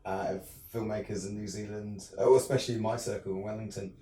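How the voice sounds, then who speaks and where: A man's voice: on the low side, steady tone, fairly quick, warm tone. A young adult man from England, a filmmaker guest in a casual interview, talking hesitantly with many fillers and restarts. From southern England. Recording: roomy, distant mic.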